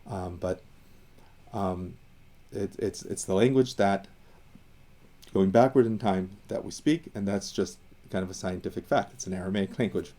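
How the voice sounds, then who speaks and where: A man from Iran talks through language history, with a low, mellow voice. He thinks aloud, full of fillers and false starts. He slows down to dwell on each term he defines. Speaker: educator on Assyrian language and identity. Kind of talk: casual explanatory talk.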